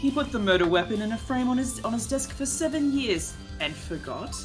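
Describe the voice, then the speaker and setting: Woman acting a high-voiced, smug theatrical prosecutor, music behind. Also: animated, a little fast, lightly acted. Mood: arguing. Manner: pompous, mocking, dramatic. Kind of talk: live amateur fan dub of a courtroom game.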